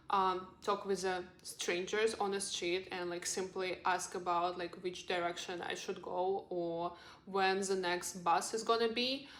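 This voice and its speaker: A woman's voice: mid-range voice, fairly bright tone. A roomy, echoing recording of a young woman with a Russian accent. She is a native Russian speaker with a mid-range voice, talking casually to her viewers like a lifestyle vlogger, in an earnest and encouraging way. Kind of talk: casual advice vlog to camera.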